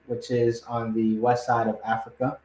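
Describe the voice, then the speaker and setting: A man's voice: mid-range voice, monotone, moderate pace, a little mellow. A man from Ghana who grew up partly in the United States, telling a personal story as a podcast guest in an emphatic, repetitive conversational way. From Ghana, moved to the United States aged eight. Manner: emphatic, repetitive, often checks in with "right?". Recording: roomy, echoing room sound.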